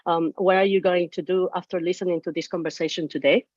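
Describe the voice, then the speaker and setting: Panelist: spanish-accented woman, lower voice, halting and earnest, dry muffled video-call audio. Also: steady tone, darkish tone, no echo. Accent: Spanish (Canary Islands). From Canary Islands, Spain. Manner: earnest, halting with many fillers and restarts. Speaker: panelist, entrepreneur. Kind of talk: panel discussion.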